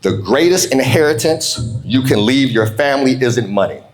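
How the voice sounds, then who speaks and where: A man, middle-aged, delivering a motivational speech in an informal, folksy way, calling on his listeners and slowing down for emphasis on key phrases. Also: medium pitch, lively intonation, soft background music. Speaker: motivational keynote speaker. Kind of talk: motivational keynote speech to an audience.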